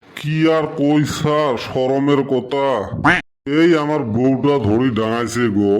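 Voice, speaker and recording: A man's voice: medium pitch, slow, darkish tone, close to an everyday voice. A man voicing a henpecked, aching, complaining village father in a comic cartoon, with a western Assam Goalpara accent. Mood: aggrieved, sheepish. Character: henpecked, aching village father, whining about his wife. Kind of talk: comic dialect cartoon dialogue.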